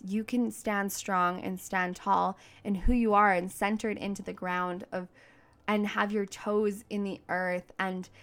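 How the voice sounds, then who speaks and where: A woman's voice: medium pitch, vocal fry. A woman giving a motivational solo podcast talk as a coach, speaking in an emphatic, informal, slangy and outgoing way. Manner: outgoing, self-described big voice.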